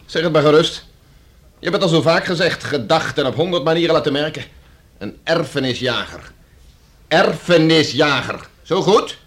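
An actor in a Dutch radio play playing a touchy, wounded husband, ironic and indignant in manner. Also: male voice, fairly high pitch, expressive, normal speed, fairly bright tone. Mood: sarcastic goading.

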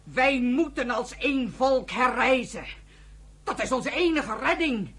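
A fervent, embittered pioneer in a radio drama, exhorting listeners. The voice is high, forceful and husky, declaiming in rhetorical questions. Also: female voice, lively intonation, a little slow, heavily acted. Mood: urgent, exhorting. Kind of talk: impassioned radio play oratory.

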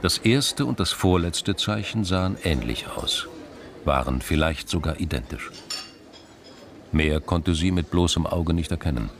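A man's voice: sits low, lively intonation, unhurried, background noise. A man reading scripted literary narration as the narrator of a radio crime drama.

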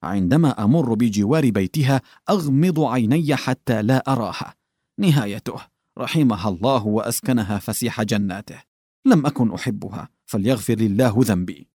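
A man's voice: medium pitch, fairly quick, dark tone, no echo, clean studio sound, close to an everyday voice. A man voicing a tactless, bumbling old male official who fumbles, backtracks and stammers. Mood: grumbling.

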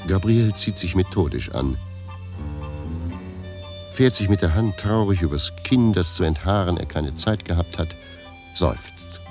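A man giving scripted literary narration for a radio play in a measured, deadpan manner. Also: deep voice, music in the background.

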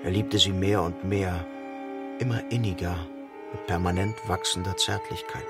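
An actor playing a solemn, elegiac narrator who echoes a doomed king's thoughts in measured radio play phrases. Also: low-pitched, steady tone. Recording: music behind the voice. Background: music.

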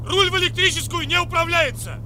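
An actor playing an agitated submarine boatswain shouting out reports in a radio drama. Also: male voice, very high-pitched, fairly quick, a little hiss or hum, full character voice. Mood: alarmed, forceful. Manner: agitated, forceful. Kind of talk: Soviet radio drama acting.

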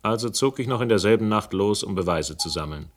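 A man playing a brooding investigator who narrates a radio crime drama in an atmospheric, deliberate, literary manner. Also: lowish voice, expressive, noisy background, natural voice. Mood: ominous. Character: brooding male investigator narrating his noir adventure. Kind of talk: scripted first-person radio crime narration.

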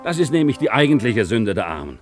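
An actor playing a young working-class craftsman in love, excitable and hopeful, laughing through his lines in a 1950s German radio drama. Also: medium pitch, fast, natural voice. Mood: defiant, playful. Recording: 1950s radio recording, music behind.

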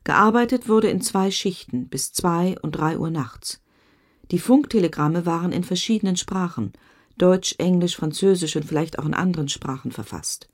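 A woman playing a German communist cipher clerk giving sober, measured testimony in a radio documentary drama. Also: on the low side, animated. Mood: reflective, factual.

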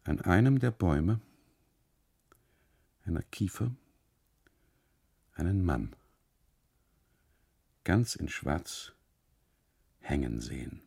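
An uneasy husband telling his story in a radio drama monologue. His voice is very low and husky, with a heavy gravelly creak. He phrases haltingly and circles back obsessively on his own words. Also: big pitch swings, darkish tone, dry recording, clean studio sound, exaggerated voice. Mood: uneasy, recounting. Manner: halting, fragmented phrasing, insistent repetition. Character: uneasy German husband on holiday, anxiously recounting, obsessive. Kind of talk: literary radio monologue, 1980s German radio drama.

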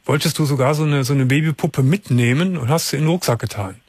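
A man hosting a late-night call-in radio show, calm and patient, asking careful follow-up questions. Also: fairly high pitch, fast talker, slightly rough, no echo. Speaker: radio talk show host. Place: radio studio. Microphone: studio mic.

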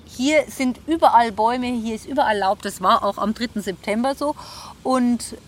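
A woman's voice: fairly high pitch, normal speed. A woman giving conversational, musing commentary while standing outdoors at a site. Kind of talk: spoken commentary on location. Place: outdoors in a city park area. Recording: faint outdoor background noise.

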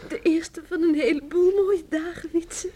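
A woman's voice: extremely high pitch, steady tone, a little slow, dark tone. A woman playing a tender, playful young country sweetheart in a radio play. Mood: blissful. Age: young adult. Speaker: actor in a radio drama. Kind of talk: Dutch radio play acting.

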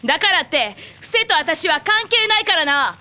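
A woman's voice: extremely high pitch, big pitch swings, moderate pace, sharp tone, heavily acted. A woman voicing a proud, guarded, tough young female fighter with curt, mannish speech in an anime drama. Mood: defensive. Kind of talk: Japanese anime voice acting.

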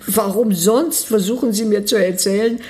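A woman's voice: mid-range voice, a little slow, darkish tone, close to an everyday voice. A woman in a radio play, speaking in a skeptical, probing way.

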